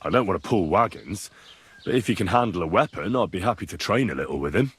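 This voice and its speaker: A man's voice: deep voice, expressive, fast, slight background noise. An actor playing a polite, plain-spoken young stranger asking his way in a video game. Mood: inquisitive. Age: young adult. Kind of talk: video game character dialogue.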